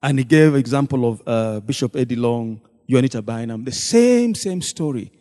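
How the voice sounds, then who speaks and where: A man speaks to a church from the front, retelling a meeting and slipping into the voices of the people in it. His voice is mellow and mid-pitched. A long reverb trails each phrase through the big hall. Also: expressive, moderate pace. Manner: storytelling, acting out quoted dialogue. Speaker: deacon addressing a church congregation.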